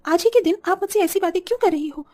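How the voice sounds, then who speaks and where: A woman voicing an earnest, polite young village seamstress in an animated story. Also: very high-pitched, fast talker. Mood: pleading, distressed. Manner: polite, pleading.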